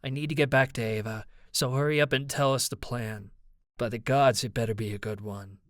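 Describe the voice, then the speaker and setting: A man's voice: medium pitch, steady tone, brisk, no room sound, lightly acted. Man voicing a dry, impatient young woman. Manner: dry, curt.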